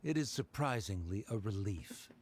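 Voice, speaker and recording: An actor playing a stern, aloof, coldly logical scholar in an anime game, formal and condescending. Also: male voice, on the low side, lively intonation, moderate pace, crowd noise, lightly acted. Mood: dismissive.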